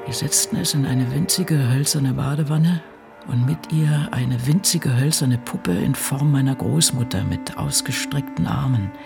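An actor in a literary radio drama voicing the brooding diary thoughts of an aging crime novelist, gravelly and husky. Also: male voice, medium pitch.

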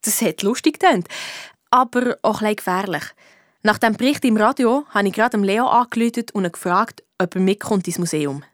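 A woman's voice: medium pitch, fairly quick, no echo, close to an everyday voice. A woman plays a young girl detective in a children's radio play. She has a Swiss accent and sounds curious and cheeky, recorded close and dry. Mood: chatty, eager.

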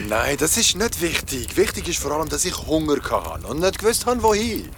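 An actor playing a naive, eager young Swiss sailor in a radio play, casual and easily flustered. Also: male voice, fairly high pitch, big pitch swings, moderate pace, gravelly, background noise. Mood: defensive, excited.

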